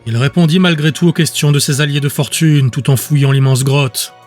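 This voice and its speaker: A man narrating scripted fiction as an audiobook, with a measured, descriptive storytelling manner. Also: a little high, a little mellow. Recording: music under the voice.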